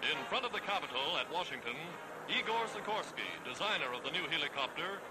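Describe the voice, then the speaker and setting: High-pitched 1940s newsreel man over music. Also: animated, fairly quick, poor audio. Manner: formal, declamatory announcer delivery. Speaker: newsreel narrator. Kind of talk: 1940s newsreel scripted narration. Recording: vintage 1940s newsreel film soundtrack. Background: loud orchestral newsreel music.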